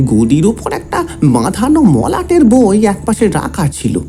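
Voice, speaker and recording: A man's voice: high-pitched, wildly swooping pitch, a little slow, warm tone, soft background music, heavily acted. An actor playing a young disciple who respectfully recounts events to his guru in an audio drama. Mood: eager reporting. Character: young male disciple of a tantric guru, deferential, gossipy storyteller. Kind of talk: dramatised Bengali audio story narration.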